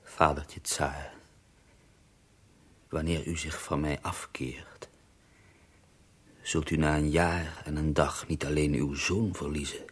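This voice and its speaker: Very deep, slow, ominous Russian mystic. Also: male voice, little pitch movement, full character voice. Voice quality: husky, hushed. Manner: slow, foreboding. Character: ominous Russian mystic prophesying doom to his tsar. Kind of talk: radio drama acting.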